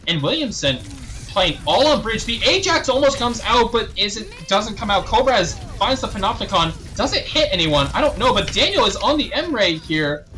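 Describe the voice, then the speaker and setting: A young man casting a live esports match play-by-play, slightly hoarse and thirsty, clearing his throat now and then. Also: high voice. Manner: rapid play-by-play, rising excitement on big plays, frequent throat clearing. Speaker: esports caster.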